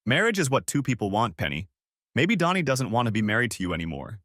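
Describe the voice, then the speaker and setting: A man's voice: mid-range voice, big pitch swings, fast talker, a bit bright, no room sound, studio quality. A man reading a story aloud, voicing a stern middle-aged mother who scolds her grown daughter bluntly. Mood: scolding.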